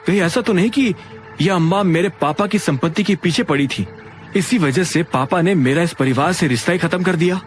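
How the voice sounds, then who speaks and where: A man's voice: high-pitched, moderate pace, faint music behind, pitched above the performer's own voice, natural voice. A man voicing a brooding, suspicious young hero in an audio drama, intense and questioning. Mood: aggrieved, angry.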